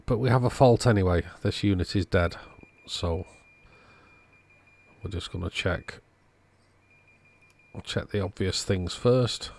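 Deep-voiced, gravelly man narrating repairs. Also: unhurried, long pauses, darkish tone. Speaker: host, electronics repairer. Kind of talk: casual repair video narration.

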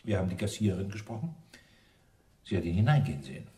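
An actor playing a dogged, sarcastic police inspector who questions in a probing, dry way, in radio crime drama style. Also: male voice, low voice, big pitch swings, fast, mellow, distant mic. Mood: sardonic, pressing.

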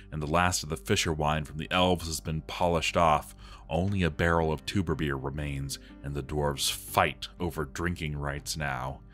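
A man's voice: a bass voice, a bit bright. A man voicing a despairing dwarf armorer who is slipping into madness, reading his journal in a grave, theatrical way.

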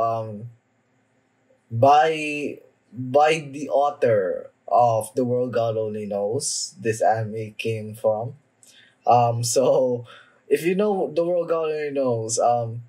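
A young man hosts a casual reaction video, speaking at a markedly slow pace. His delivery is halting and hesitant, with words often repeated as he goes. The pitch sits in an average male range. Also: expressive, a little mellow, poor audio. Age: young adult. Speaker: anime reaction video host. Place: small room at home, indoors.

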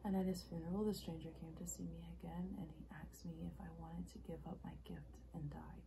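A woman performing a dramatic monologue as an earnest, ageless young woman making an intimate, halting confession to her lover. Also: fairly low pitch, fairly even intonation, gravelly, background noise. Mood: hushed, confessional.

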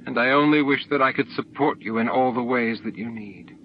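A man voicing a gentle, affectionate and sorrowful young female alien in an audiobook, speaking formally. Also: mid-range voice, brisk, lightly acted. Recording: dull noisy audiobook recording.

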